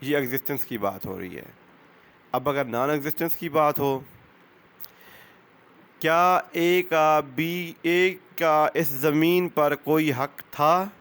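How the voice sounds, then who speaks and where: A man with a Pakistani accent, a lawyer presenting an explanatory lesson in a deliberate, didactic manner, repeating key phrases. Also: fairly high pitch, fairly bright tone, smooth. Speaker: lawyer giving law lessons as channel host. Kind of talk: explanatory lecture.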